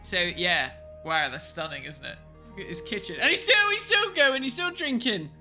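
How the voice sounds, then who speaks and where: A man's voice: high voice, animated, normal speed, sharp tone, faint music behind. A man co-hosting a podcast commentary, chatting casually in colloquial, sweary language. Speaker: podcast co-host.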